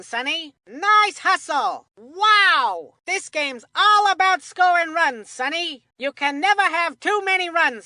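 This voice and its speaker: A woman voicing a folksy, excitable boy who calls baseball in a video game, full of old-timey homespun sayings. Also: very high-pitched, no echo, studio quality, exaggerated voice. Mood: excited. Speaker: baseball commentator. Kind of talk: video game sports commentary.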